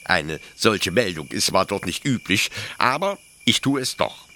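A man's voice: low voice, very animated, unhurried, full character voice. A man playing a fanatical, agitated colonial officer in a radio play, pleading insistently and stammering. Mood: tense, eager. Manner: feverish, stammering, insistent, swinging from hushed to shouting. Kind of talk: German radio play acting.

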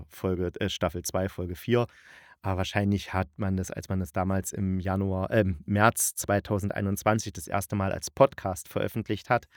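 A man's voice: fairly low pitch, no echo, clean studio sound. A man giving a casual spoken review in a chatty, colloquial, opinionated manner. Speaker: audio drama reviewer, host.

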